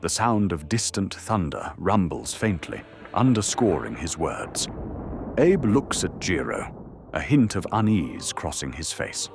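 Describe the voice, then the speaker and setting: A man's voice: deep voice, very animated, measured pace, vocal fry, a little hiss or hum. A man delivering dramatic scripted narration for an audio drama. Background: faint music.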